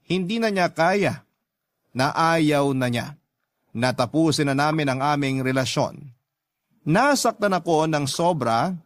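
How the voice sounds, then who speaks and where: A man's voice: mid-range voice, no echo. Filipino man reading a story, dry sound. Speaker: radio show host and story narrator. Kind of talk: dramatic first-person letter reading.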